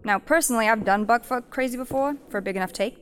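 An actress playing a brash, pragmatic gang leader in a fantasy audio drama, with a blunt, slangy, take-charge manner. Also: female voice, a little high, quick pace, a little hiss or hum, lightly acted. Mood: wry, commanding.

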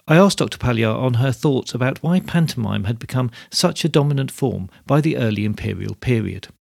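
Creaky-voiced man narrating, close and dry. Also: mid-range voice, lively intonation, a little fast, a little mellow, no room sound. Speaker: podcast host. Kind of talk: scripted podcast narration.

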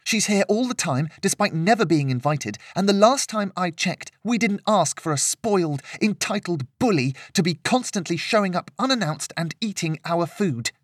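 Man voicing an indignant, hot-tempered teenage girl, raised pitch. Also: animated, moderate pace, hardly any pauses, no room sound, clean studio sound. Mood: angry ranting. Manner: loud, indignant ranting.

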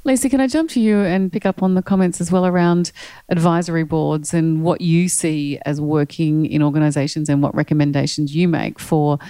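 A woman moderating a panel, putting brisk, inviting questions to the speakers. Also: sits low, steady tone, dark tone, smooth, dry recording.

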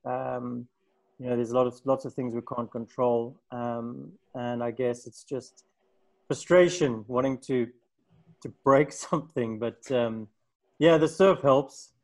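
Tired, halting man on a video call. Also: medium pitch, fairly even intonation, gravelly, poor audio. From South Africa. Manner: halting, tired, many ums. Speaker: designer in a video call group. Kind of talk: casual chat.

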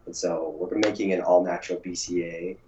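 Male guest with a cold, very low, raspy and froggy, relaxed; echoey room. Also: a little fast, slight background noise. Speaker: podcast guest, company founder. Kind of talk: casual interview chat. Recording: echoey, dull audio.

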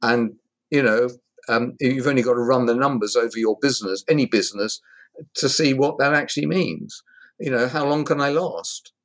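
A man as an interview guest, speaking in a measured, thoughtful way with frequent pauses and fillers. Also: medium pitch. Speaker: hospitality industry guest expert. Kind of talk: conversational interview.

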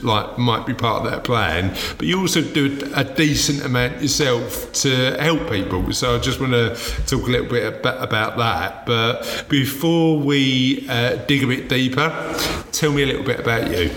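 A male podcast host asks questions and affirms the guest in a friendly, chatty way. His voice has a long, roomy reverb on it, with faint noise behind. He speaks at an ordinary pitch. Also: moderate pace, fairly bright tone. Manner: friendly, affirming, frequent "yeah". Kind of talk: conversational interview.

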